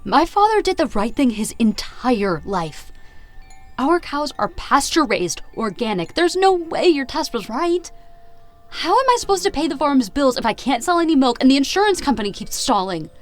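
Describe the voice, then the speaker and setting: A woman's voice: high voice, big pitch swings, normal speed, soft background music. An actress playing a stubborn, wounded young farm woman in a naturalistic audio drama, sardonic and determined. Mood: angry, frustrated. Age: young adult. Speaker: lead actor in audio drama. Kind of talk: naturalistic audio drama acting with inner narration.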